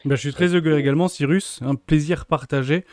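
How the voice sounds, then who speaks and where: A male host with a mellow, warm tone leads a video interview. He reads a polished introduction and asks his guest questions with enthusiasm. The sound is dry and close. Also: on the high side, fairly even intonation, fairly quick, no room sound.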